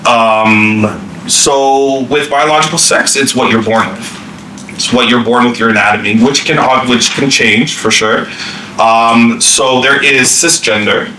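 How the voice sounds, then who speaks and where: Bright-voiced man presenting in an echoey room. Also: medium pitch, fairly even intonation, a little slow. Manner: informal, many fillers and restarts. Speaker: workshop presenter. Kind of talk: staff training presentation. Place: meeting room. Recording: echoey room from a distance, faint hiss.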